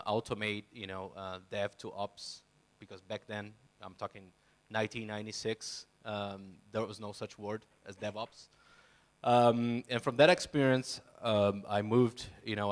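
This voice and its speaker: A man in his forties introduces himself on a conference panel. His voice sits low and barely moves in pitch. He speaks haltingly into a handheld microphone. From Brazil. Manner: hesitant, many fillers and restarts. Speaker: panelist, software company CEO. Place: conference stage.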